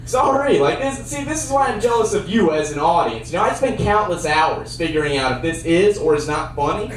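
Young man doing stand-up, very lively pitch. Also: brisk, a crowd in the background. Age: young adult. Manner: deadpan one-liners with sudden loud bursts. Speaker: stand-up comedian. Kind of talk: stand-up comedy set. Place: dark theater stage. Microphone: handheld mic on a cord. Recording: roomy sound from a far camera.

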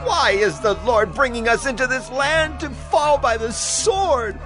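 Bitter, wailing Israelite man, very high-pitched protest over prominent music, audio Bible drama. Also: expressive, unhurried. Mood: despairing.

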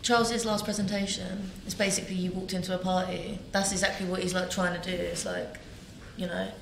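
A woman with a lowish voice gushes on a panel, leaning on "like" between every phrase. Echo fills the studio and a faint hiss hangs behind. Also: level tone, a little fast, gravelly, fairly bright tone. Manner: enthusiastic, heavy on "like". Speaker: panelist, writer. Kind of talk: casual panel discussion.

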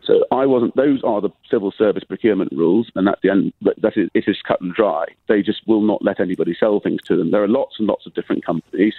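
A man's voice: fairly low pitch, fast talker, few pauses, husky, no room sound. A British man calling a radio phone-in, insistently defending himself and pressing to finish his point. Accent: British English. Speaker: caller. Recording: tinny phone line.

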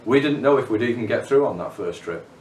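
A man's voice: mid-range voice, animated, brisk, noisy background. A middle-aged man, a cave diver, talking in an interview about diving and often repeating words as he starts a phrase. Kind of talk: documentary interview. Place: living room. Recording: roomy, from a distance.

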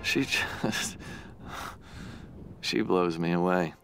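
A young man rambles through a game journal over faint music. He is low-voiced, nervous and self-doubting, covering it with wisecracks. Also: very slow, fairly bright tone, heavily acted. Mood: smitten self-doubt. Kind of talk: game voice acting, casual journal monologue.

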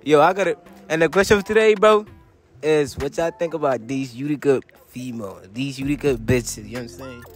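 A man's voice: mid-range voice, fairly quick. A young man hosting a casual street interview with heavy slang, hyped and repeating his phrases. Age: young adult. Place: outdoors on a residential street and parking lot. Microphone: handheld mic. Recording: outdoor street recording, faint music behind.

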